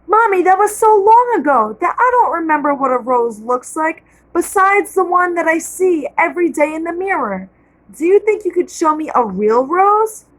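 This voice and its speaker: A woman reading aloud and voicing an excited little girl who begs her mother to go see roses. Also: extremely high pitch, moderate pace, pitched above the performer's own voice, poor audio. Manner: eager, pleading.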